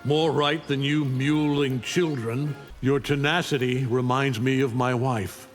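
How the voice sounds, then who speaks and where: A man's voice: mid-range voice, steady tone, unhurried, faint music behind, natural voice. Arrogant alien conqueror played by a man, echoing reverb. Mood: contemptuous. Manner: contemptuous, taunting, coldly commanding. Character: arrogant, menacing alien conqueror, a middle-aged superhuman father. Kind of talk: video game voice acting, fight intro taunts.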